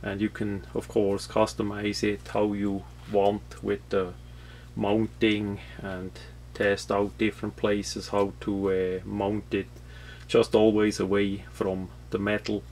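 A non-native English-speaking man from Switzerland with a Swiss accent, explaining a product off the cuff in a halting, matter-of-fact, rambling way. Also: on the low side, completely flat delivery, darkish tone, low-quality recording. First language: not English. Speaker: product reviewer. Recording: clear background noise with hum.

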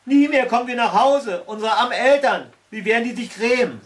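A man voicing frightened, excitable children in a storybook, loud and exclaiming. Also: very high voice, a little fast, far from the mic, pitched above the performer's own voice. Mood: despairing. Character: frightened, despairing storybook children crying for help.

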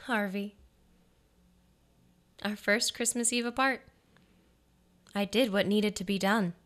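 An actress playing a lonely, devoted wife in a radio noir monologue, halting and wistful as she confides. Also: medium pitch, fairly even intonation, fairly bright tone. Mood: wistful, confiding. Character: lonely, devoted wife quietly confessing her secret.

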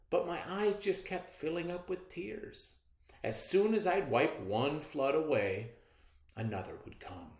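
A middle-aged man reading a storybook aloud in a dramatic, deliberate way. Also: mid-range voice. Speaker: read-aloud narrator. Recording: roomy echo.

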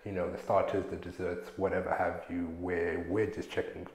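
A man's voice: low-pitched, moderate pace, a little hiss or hum. A young man from Zimbabwe, a chef, talking casually and slangily in an interview on a lapel mic. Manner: relaxed, slangy, acts out coworkers' lines. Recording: echoey room.

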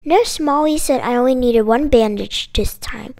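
A woman reading a children's picture book aloud in an expressive, emphatic storytelling manner. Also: a little high, moderate pace, vocal fry. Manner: expressive, emphatic delivery with dramatic exclamations. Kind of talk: animated children's read-aloud.

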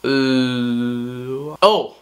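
A young man with a hoarse voice, talking casually to the camera in a small bedroom, rambling with many fillers. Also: medium pitch, fairly even intonation, very slow. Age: young adult. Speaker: vlogger. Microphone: camera on tripod at close range.

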